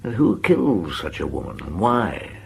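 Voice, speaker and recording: An actor playing a seasoned, middle-aged police chief in a radio drama, musing unhurriedly and asking terse, wry questions. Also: male voice, sits low, very animated, normal speed, exaggerated voice. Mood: thoughtful. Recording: clear background noise.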